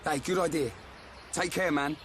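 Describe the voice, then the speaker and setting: A man as blunt, loyal higher-voiced young fighter. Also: moderate pace, a bit bright, slight background noise, lightly acted. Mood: friendly. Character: loyal, simple, hearty young male fighter friend. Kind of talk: video game cutscene acting.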